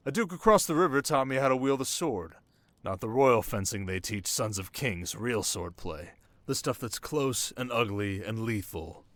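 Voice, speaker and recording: An actor playing a sharp, haunted young king in an intimate ASMR romance roleplay, talking wryly and dramatically to his listener. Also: mid-range voice, animated, normal speed, dry recording, natural voice. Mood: bitter reminiscing. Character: young desert king, sharp, wry, haunted, teasingly tender.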